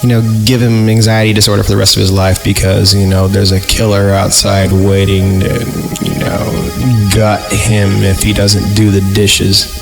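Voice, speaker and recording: A man's voice: fairly low pitch, little pitch movement, normal speed, vocal fry, few pauses. A man hosting a horror podcast, reading stories aloud and breaking off for sarcastic, improvised jokes, with music behind him. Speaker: podcast host and reader. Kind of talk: creepypasta reading with joking asides.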